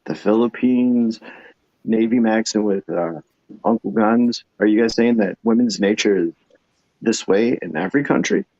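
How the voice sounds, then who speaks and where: A man with a low voice asks questions slowly and deliberately. His audio comes through dull and muffled, with a dry, close sound and no room around it. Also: dark tone, dry recording.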